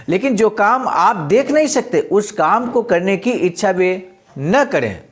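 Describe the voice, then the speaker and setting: Mid-pitched presenter, speech reading, explanatory commentary, didactic delivery, emphatic repetition.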